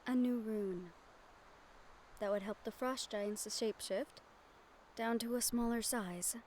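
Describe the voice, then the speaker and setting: A woman playing a gentle, earnest young magic-wielder in an audio roleplay, soft-spoken and measured with thoughtful pauses. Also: fairly high pitch, slight background noise, lightly acted. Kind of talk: audio roleplay acting, addressed to the listener. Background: ambient sound effects.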